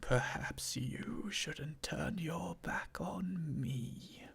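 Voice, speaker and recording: A reader whispering the part of a sly, sarcastic male lion in a breathy, husky voice. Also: medium pitch, very slow, pitched below the performer's own voice, exaggerated voice. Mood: sneering, sarcastic.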